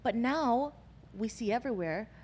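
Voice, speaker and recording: A woman hosting a casual podcast interview, lively and familiar with her guest. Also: on the high side, moderate pace, long reverb tail, slight background noise. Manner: lively, familiar, calls guest girl and sis. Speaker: host.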